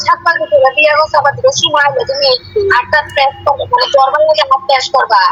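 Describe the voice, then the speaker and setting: Bright, high-voiced woman on a phone call. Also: steady tone, quick pace. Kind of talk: casual chat on a phone call. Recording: faintly noisy phone call recording.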